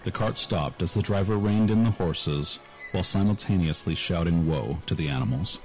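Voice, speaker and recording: A man's voice: low voice, moderate pace, a little mellow, soft background music. A man narrating a scripted fantasy story as an audiobook narrator.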